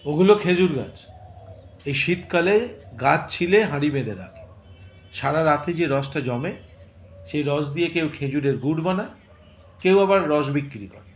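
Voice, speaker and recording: Man as high-voiced easygoing young villager, roomy sound. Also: normal speed, long pauses, lightly acted. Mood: relaxed explaining. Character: easygoing young man showing city friend his village. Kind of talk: Bengali audio story acting.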